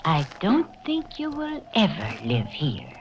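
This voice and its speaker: A woman's voice: deep voice, wildly swooping pitch, takes it slowly, heavily acted. An actress playing an intense, eerie, earnest woman in a 1940s radio horror drama. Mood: foreboding. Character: intense, eerie, pleading woman obsessed with her family house. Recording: noisy, dull old radio recording.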